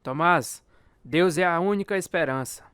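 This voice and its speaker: A man acting a devout prisoner in a radio drama, firm and direct as he exhorts. His voice is high for a man, very clear and recorded dry. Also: moderate pace, fairly bright tone, no room sound, natural voice. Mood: firm, earnest. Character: firm, devout male prisoner urging faith.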